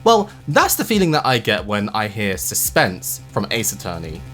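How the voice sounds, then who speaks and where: A man narrating a video essay in an explanatory, measured way with emphatic phrasing and casual asides. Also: fairly low pitch, wildly swooping pitch, a bit bright. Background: background music.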